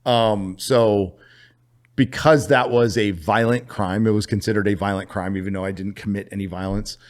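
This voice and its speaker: A middle-aged man from California telling a story as a podcast guest, animated and profane, laughing as he goes. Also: lowish voice, moderate pace. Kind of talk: casual storytelling.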